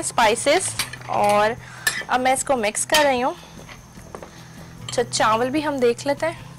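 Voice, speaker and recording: High-voiced woman hosting a cooking show. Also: fairly bright tone, a little hiss or hum. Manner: chatty, instructive, mixes in English terms. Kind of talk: step-by-step cooking demonstration. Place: TV studio kitchen set.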